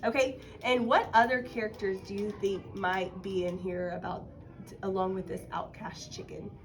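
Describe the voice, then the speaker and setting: A woman's voice: medium pitch, fairly even intonation, moderate pace, a little hiss or hum. A woman teaching a casual one-on-one writing lesson, encouraging and guiding with questions. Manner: encouraging, explains by prompting with questions.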